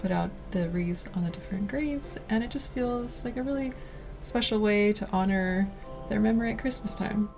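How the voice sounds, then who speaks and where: Woman, 30s, from California, gentle co-host, soft unhurried delivery. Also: medium pitch, creaky, music in the background. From California, USA. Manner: soft, gentle, slow delivery with light laughs. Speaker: co-host of a cottage lifestyle channel. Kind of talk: casual scripted chat to camera.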